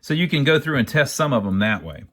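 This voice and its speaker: A man's voice: mid-range voice, sing-song, fast, a bit bright, a little hiss or hum. An American man giving a casual hands-on repair walkthrough, thinking aloud in a folksy, plain-spoken way. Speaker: amateur radio repairer, video host.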